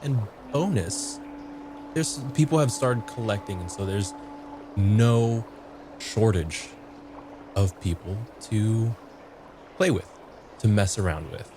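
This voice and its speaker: A man playing a mischievous, playful fey river creature in a tabletop roleplay, speaking in a slow, drawn-out, savoring way. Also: fairly low pitch, noisy background.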